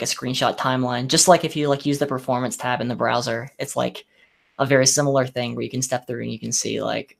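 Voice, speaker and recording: A man's voice: mid-range voice, vocal fry. A man talking casually and hesitantly about developer tools, with frequent fillers and enthusiasm. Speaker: podcast panelist. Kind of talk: casual tech discussion.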